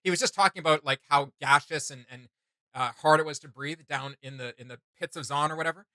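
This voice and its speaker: A man's voice: a little high, fast, pushed and bright, dry recording. A man in his thirties hosting a casual reaction chat, talking in an enthusiastic, slangy way. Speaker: host of a reaction channel. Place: small home studio room, indoors.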